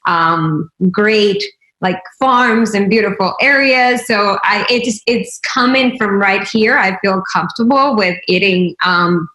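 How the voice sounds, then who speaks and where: A woman from Venezuela talks as a guest on an interview show. Her voice sits at a medium pitch, and she explains her own experience earnestly and conversationally. The recording is noticeably roomy, with echo around her words. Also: measured pace, bright, forceful tone. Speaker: interview guest, wellness consultant. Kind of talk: conversational personal storytelling. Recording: roomy, echoing sound.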